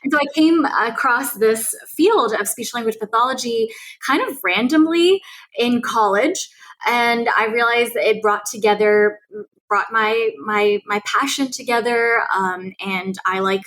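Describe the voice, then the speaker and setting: A woman who grew up speaking Cantonese, answering as an interview guest in an earnest, steady way, with frequent ums and restarts. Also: a little high, measured pace, poor audio. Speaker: guest researcher.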